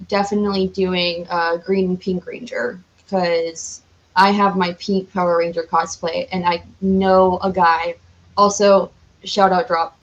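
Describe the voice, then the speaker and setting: Chatty woman guest, slightly dull recording. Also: on the low side. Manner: chatty, self-described loud and exuberant. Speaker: podcast guest, cosplayer. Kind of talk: casual chat.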